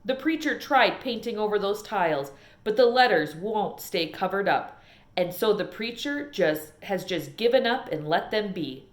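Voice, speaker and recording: A woman reading a children's novel aloud as an expressive storyteller, in an echoey room. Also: medium pitch, normal speed. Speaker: storybook reader.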